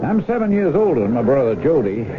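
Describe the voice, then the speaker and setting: An actor playing a big, bitter, covetous older farm brother with plain, folksy rural speech in a radio drama. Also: male voice, mid-range voice, sing-song, fast talker, natural voice. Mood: bitter, brooding. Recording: muffled old radio broadcast, heavy noise.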